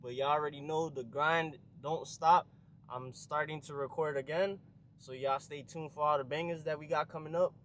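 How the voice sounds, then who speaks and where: A young man talks straight to his viewers in loose street slang, voice bright and pushing forward. He gets loud and hyped when the cars start moving outside. Faint outdoor noise sits behind him. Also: a little high, normal speed. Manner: hyped slang-heavy street talk, yelling when excited. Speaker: car vlogger. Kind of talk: casual vlog talk to viewers. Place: outdoors at an abandoned airbase, around cars.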